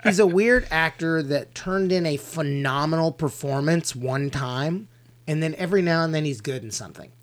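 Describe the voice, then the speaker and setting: A man from the American South with a Southern accent, co-hosting a casual movie review podcast and giving blunt, opinionated takes. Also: on the high side. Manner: blunt, opinionated, quick to rant. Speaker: podcast co-host; actor.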